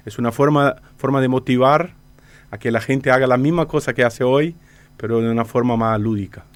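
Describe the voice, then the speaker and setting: Brazilian guy, native portuguese speaker, mid pitch, explaining stuff in an interview, kinda halting, repeats words. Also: dry recording. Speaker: interview guest, company director.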